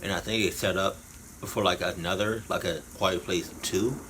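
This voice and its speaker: A man giving casual, rambling reaction commentary in colloquial slang, haltingly and often repeating his phrases. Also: low voice, fairly even intonation, a bit bright, a little hiss or hum. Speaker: reaction video host.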